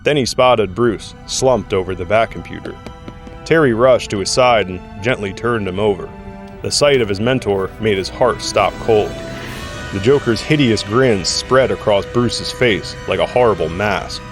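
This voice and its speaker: A man's voice: on the low side, measured pace, music in the background. A man serving as narrator, reading scripted dramatic story narration.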